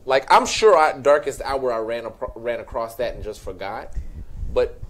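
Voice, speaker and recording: A man's voice: mid-range voice, animated, moderate pace, a bit bright. A man co-hosting a film talk show, chatting casually as he runs through award categories.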